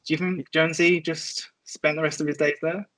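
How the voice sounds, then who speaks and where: A man's voice: on the high side, little pitch movement, a little fast, fairly bright tone, poor audio. A man chatting casually as a guest on a podcast. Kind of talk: casual chat.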